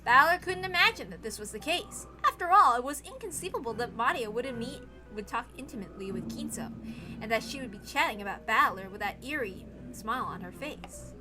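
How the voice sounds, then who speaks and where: Female narrator for dramatic visual novel reading: bright, very lively pitch, measured pace. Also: soft background music. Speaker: narrator, story reader.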